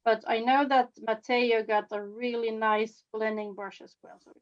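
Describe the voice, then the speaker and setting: A woman from Sweden with a Swedish accent, giving an informal demonstration as a face painting instructor, with halting phrasing. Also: on the high side, fairly even intonation. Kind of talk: informal live demonstration with commentary. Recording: slightly dull, lo-fi webinar audio.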